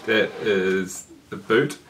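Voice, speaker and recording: A man with a New Zealand accent and a low, bright, forceful voice. He answers in a casual chat with short, dry jokes, heard from a little way off the mic. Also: slow pace, distant mic, slight background noise. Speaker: guest.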